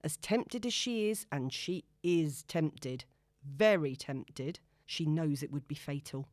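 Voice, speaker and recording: A woman audiobook narrator reading a novel in an expressive, dramatic storytelling manner. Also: low-pitched, slow, dry recording, clean studio sound.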